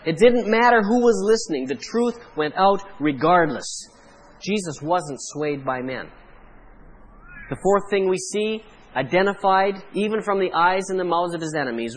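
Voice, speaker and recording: Canadian pastor preaching, high voice. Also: male voice, rough recording. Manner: measured preaching with emphatic pauses. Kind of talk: sermon.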